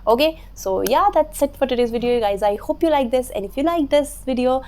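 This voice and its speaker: Young Indian woman, Hindi native, fairly high voice, earnest teaching tone, repeats herself for emphasis, lapel mic in a small room. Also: animated, a little fast, darkish tone, slight background noise. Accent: Indian English. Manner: earnest, repeats phrases for emphasis, gestures with hands. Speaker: English teacher, channel host. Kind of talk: instructional talk to camera. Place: small room indoors, slatted wall.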